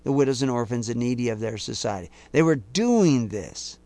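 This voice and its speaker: A man preaching a sermon to his listeners, accusing and emphatic, slowing down to quote scripture in a measured cadence. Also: mid-range voice, animated, vocal fry, warm tone, no room sound. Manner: intense, rising to emphatic outbursts; accusing, speaks directly to listeners.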